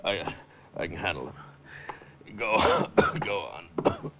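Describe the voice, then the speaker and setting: An actor playing a wounded, resentful American gangster in a 1950s radio crime drama, gruff and strained. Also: male voice, low-pitched, expressive, very slow, background noise, full character voice. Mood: weak, gruff. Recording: muffled old radio recording.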